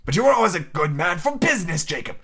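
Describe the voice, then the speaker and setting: A man's voice: high-pitched, sing-song, quick pace, vocal fry, fairly bright tone, husky, pitched above the performer's own voice, exaggerated voice. A man voicing a frightened old miser who speaks in a faltering, imploring way. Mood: timid. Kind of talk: audiobook character reading.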